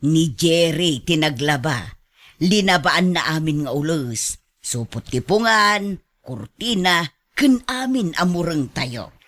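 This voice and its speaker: An actress with an Ilocano accent playing a contented, approving, chatty parent at home in a radio drama. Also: female voice, sits low, animated, slow pace, fairly bright tone, exaggerated voice.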